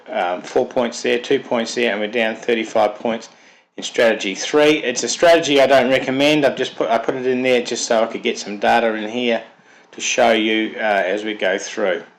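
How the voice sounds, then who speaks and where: A man with a cold and a slightly froggy voice, methodically walking through a piece of software step by step. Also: mid-range voice, rough recording. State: has a cold, sounds froggy. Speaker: software developer presenting his product. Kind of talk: screen-recorded software walkthrough.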